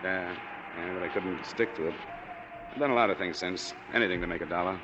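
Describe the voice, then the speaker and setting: Man acting deep-voiced, world-weary American war veteran. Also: fairly quick, close to an everyday voice. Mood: casual. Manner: laconic, understated. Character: world-weary, plain-spoken male war veteran, former army major. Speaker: actor in a radio drama. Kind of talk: 1940s radio acting. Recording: dull, noisy 1940s radio recording.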